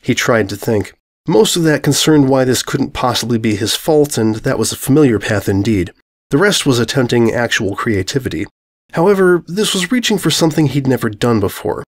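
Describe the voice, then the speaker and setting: A man's voice: medium pitch, lively intonation, a little fast. A man narrating comic fantasy fiction as a scripted dramatic reading.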